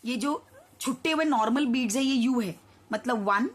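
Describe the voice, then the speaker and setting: A young woman teaching a children's maths lesson, her voice hoarse, counting slowly aloud and asking the children questions. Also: fairly high pitch. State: hoarse from shouting at school.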